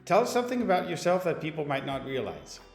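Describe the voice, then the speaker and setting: A man's voice: fairly high pitch, very animated, fast talker, vocal fry. Male interview host asking polite, formal questions; roomy sound with a long reverb tail and faint music.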